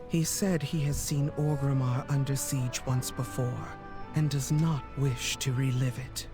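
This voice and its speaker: An actor playing a loyal tauren chieftain in a fantasy game, speaking with earnest solemnity. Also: male voice, on the high side. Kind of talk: fantasy game dialogue acting. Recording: game music behind the voice.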